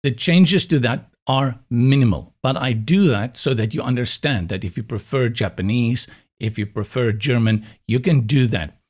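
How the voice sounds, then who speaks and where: A man's voice: mid-range voice, moderate pace, darkish tone. A South African man whose first language is Afrikaans, explaining a website setup step by step in a patient screen-recorded tutorial. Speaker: web design instructor.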